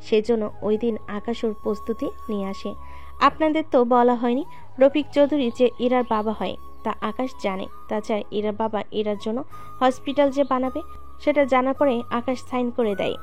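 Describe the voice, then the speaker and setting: A woman narrating a story, chatting directly to her listeners with asides. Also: on the high side. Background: faint background music.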